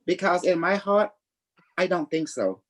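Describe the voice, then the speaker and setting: Psychic livestream host, boastful and playful, mid-pitched, loose improvised chat in non-standard grammar. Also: lively intonation, a little slow.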